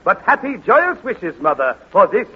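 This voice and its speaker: A man's voice: high voice, big pitch swings, normal speed, slightly rough, full character voice. An actor playing a pompous man who declaims sentimental verse, in a 1940s radio comedy. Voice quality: florid, declaiming. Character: pompous male poet of soggy verse. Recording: muffled old radio recording.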